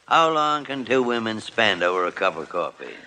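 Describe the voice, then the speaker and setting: An actor playing a gruff, crusty old male hospital chief doctor in a 1940s radio drama, grumbling and teasing. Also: lowish voice, very animated, normal speed, sharp tone. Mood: impatient. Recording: old radio broadcast with faint hiss.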